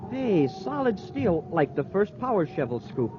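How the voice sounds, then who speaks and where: Earnest young space cadet, deferential, quick to exclaim, 1950s radio adventure, dull recording with clear noise. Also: male voice, high voice, sing-song. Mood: puzzled, earnest. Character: eager, excitable young space cadet sidekick.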